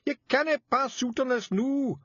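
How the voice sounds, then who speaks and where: A tiny, fierce fairy man with a thick Scottish brogue, barking out orders in a high, gruff voice that swoops wildly as he shouts. The sound is dry and a little dull, with a husky edge. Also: sing-song, moderate pace, no echo, heavily acted. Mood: urgent, shouting. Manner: gruff, urgent, shouting in broad dialect. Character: small fierce male Scottish fairy leader.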